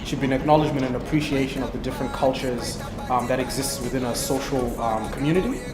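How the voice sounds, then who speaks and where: A man's voice: medium pitch, fast, echoey room, big-room sound, over loud music. A musician, a man, giving thoughtful, somewhat hesitant interview answers. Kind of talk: talking-head interview.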